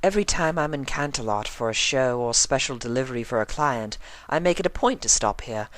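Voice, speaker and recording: A man's voice: medium pitch, animated, brisk, fairly bright tone, no room sound, voice pushed up, lightly acted. A man voicing a refined, dramatic young mare with genteel, theatrical diction in a story reading. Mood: gracious.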